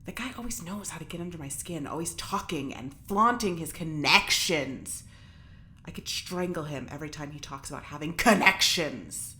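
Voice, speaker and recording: A woman's voice: lowish voice, sharp tone. An actress playing an agitated, defiant woman prisoner in space, stammering and talking to herself as she fights panic in an audio drama monologue.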